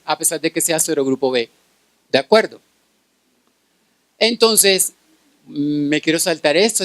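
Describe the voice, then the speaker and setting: Male medical lecturer, middle-aged, Colombian Caribbean accent, fairly high pitch, dry sound, keeps checking listeners follow. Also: no echo. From Cartagena, Colombia. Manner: checks in with listeners through frequent short tag questions. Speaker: pediatric infectious disease specialist giving a lecture.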